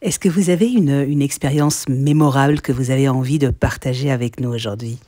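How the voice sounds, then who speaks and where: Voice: mid-range voice, fairly quick, mellow, no echo. An interviewer asking formal, polite questions, with some fillers.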